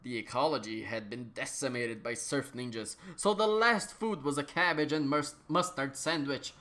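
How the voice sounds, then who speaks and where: A woman's voice: extremely low pitch, normal speed, a bit bright. A woman narrating absurd comic fiction as a dramatic reading, in a mock-serious manner. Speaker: narrator, reader.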